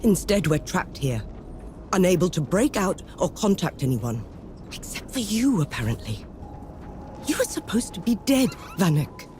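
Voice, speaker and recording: An actress playing a stern, skeptical field marshal in a game cutscene, curt and authoritative. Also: female voice, on the low side, very animated, moderate pace, darkish tone, a little hiss or hum. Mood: bitter. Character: stern, skeptical female field marshal, battle-worn commander. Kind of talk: video game cutscene acting.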